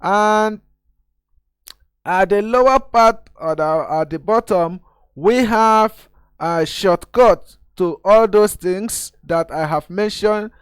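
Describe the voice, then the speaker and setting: A man's voice: high-pitched, takes it slowly, clean tone, no room sound. A man from Nigeria, host of his own channel, explaining a video editor step by step in a screen-recorded tutorial and calling viewers guys.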